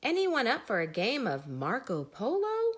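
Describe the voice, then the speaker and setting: A woman's voice: on the high side, wildly swooping pitch, unhurried, voice pushed up, exaggerated voice. A woman voicing a sociable, eager goat who hails friends in a storybook.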